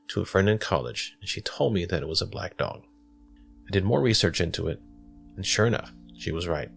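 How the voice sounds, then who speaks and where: Very deep, gravelly man narrating. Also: fairly bright tone. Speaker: guest story reader. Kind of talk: narrated first-person ghost story reading.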